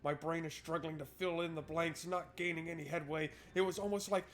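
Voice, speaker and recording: A man's voice: on the high side, steady tone, a little fast, fairly bright tone. A man hosting a let's play, chatting informally and playfully and reading game text aloud. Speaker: gaming video host. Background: game music.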